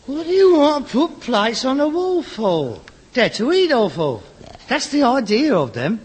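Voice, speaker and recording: A man's voice: very high voice, huge pitch swings, measured pace, heavily acted. An actor playing a sarcastic, exasperated grown son in a British sitcom, a London rag-and-bone man with a mocking manner. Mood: exasperated, scornful. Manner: mocking, sardonic. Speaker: actor in a comedy. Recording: reverberant, noisy old sitcom recording.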